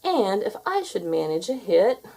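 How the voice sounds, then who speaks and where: A woman voicing a blustering, villainous big man, a bullying boss in a children's storybook, loud, sly and threatening. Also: mid-range voice, very animated, a little slow, creaky, heavily acted. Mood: sly, menacing. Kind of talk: children's storybook read aloud.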